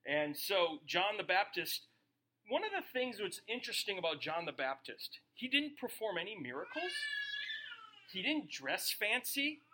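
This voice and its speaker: A man's voice: high-pitched, big pitch swings, moderate pace, sharp tone, rough recording. A man preaching a sermon in a casual, joking way, often asking the audience questions. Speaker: pastor.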